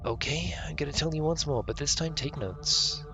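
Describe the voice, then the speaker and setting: A man's voice: on the high side, moderate pace, voice pushed up, natural voice. A man voicing a mocking, foul-mouthed escaped suspect from a video game, cryptic and overly familiar, with music behind. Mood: insistent.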